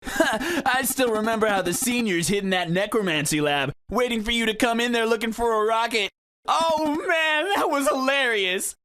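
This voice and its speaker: A woman voicing a brash, excitable young student adventurer in a game fan dub. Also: fairly high pitch, normal speed, no room sound. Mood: excited, teasing.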